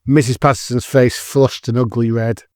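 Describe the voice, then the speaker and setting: A man's voice: medium pitch, little pitch movement, moderate pace, darkish tone, no room sound, clean studio sound. A man reading scripted dramatic narration as the narrator of an audio drama.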